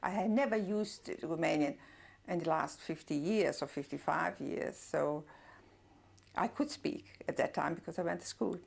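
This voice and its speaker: Older German-native woman, low gravelly voice. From Czernowitz, Bukovina. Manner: reflective, pausing often with fillers, soft laughs. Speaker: interviewee, Holocaust survivor. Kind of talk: oral history testimony.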